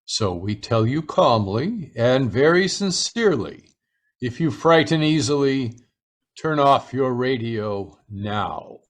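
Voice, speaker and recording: A man announcing in the ominous, deliberate style of an old-time radio horror introduction, solemn throughout. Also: mid-range voice, animated.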